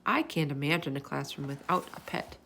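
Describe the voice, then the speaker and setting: A woman's voice: sits low, animated, brisk, vocal fry, sharp tone, a little hiss or hum, pitched below the performer's own voice, heavily acted. A woman voicing a small, kindly male hamster who narrates a children's storybook with earnest wonder. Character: small chatty classroom hamster, male, kind and observant storyteller.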